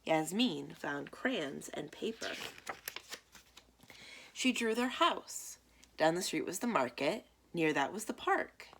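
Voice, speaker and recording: A woman reads a children's story aloud in a fairly low voice. Her pace is very slow and deliberate, and her intonation moves expressively as a storytime reader's does. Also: very animated, creaky, a bit bright, slight background noise.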